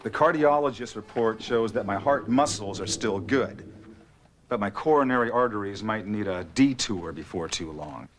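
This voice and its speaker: A man's voice: fairly low pitch, lively intonation, normal speed, soft background music, close to an everyday voice. A man acting a worried, skeptical middle-aged heart patient in a medical dramatization. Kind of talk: acted scene in a medical dramatization. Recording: noisy room ambience.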